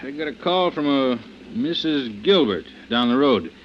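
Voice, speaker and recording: An actor playing a dogged, plain-spoken, easily rattled police officer in an old radio drama, blunt and colloquial. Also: male voice, mid-range voice, expressive, normal speed, lightly acted. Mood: puzzled, inquiring. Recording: muffled, hissy vintage radio recording.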